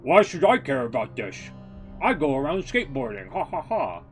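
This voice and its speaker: A man voicing a dim-witted, carefree young skateboarder girl in a mocking impression, with slow, simple, caveman-like phrasing. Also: a little high, big pitch swings, soft background music, voice pushed up. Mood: carefree, oblivious.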